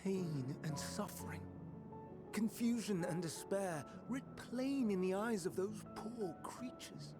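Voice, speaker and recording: A troubled, idealistic scholar in a fantasy game, with a fairly high male voice. He speaks in measured, old-fashioned, formal phrases, with quiet torment under the courtesy. Prominent music plays behind him. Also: lively intonation. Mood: anguished. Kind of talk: dramatic video game voice acting.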